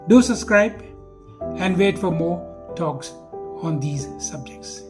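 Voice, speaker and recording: A man with a notably high-pitched voice gives an explanatory talk. He speaks slowly and deliberately, stressing key words as he presents ideas in simple terms for viewers. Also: lively intonation, over music.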